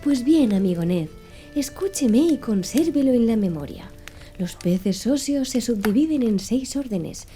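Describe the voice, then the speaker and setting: Audiobook role: earnest pedantic manservant played by a woman, very soft mellow tone, didactic, lilting, light music underneath. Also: on the high side, expressive, unhurried. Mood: didactic, patient. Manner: didactic, precise. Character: Conseil, earnest, pedantic man reciting fish classifications. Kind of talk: audiobook character dialogue.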